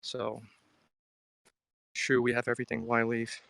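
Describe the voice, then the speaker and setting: A man's voice: mid-range voice, completely flat delivery, unhurried, creaky, a bit bright, no room sound, soft background music. A man from Hong Kong live-streaming in a casual, musing, conversational way, reading comments aloud and replying to viewers. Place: outdoors at a riverside.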